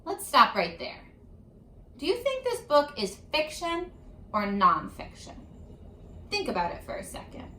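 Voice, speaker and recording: A woman reading aloud to children as a teacher, addressing them directly with questions in an animated, instructional way. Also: sits high, unhurried, pauses often, a little hiss or hum. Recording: roomy, echoing sound.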